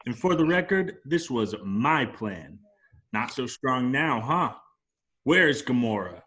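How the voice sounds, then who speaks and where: Slow, cocky space outlaw played by a man. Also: mid-range voice, expressive, a bit bright. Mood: smug taunting. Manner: taunting, insistent, drawn-out demands. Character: cocky male space outlaw turned anguished and enraged. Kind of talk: amateur table read of a film script.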